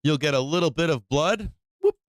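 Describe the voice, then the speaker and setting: A man's voice: on the high side, expressive, normal speed, no room sound, studio quality. A man hosting a live stock trading stream, chatting casually and bluntly in slangy, profanity-laced talk. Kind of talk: casual live stream chat and market commentary.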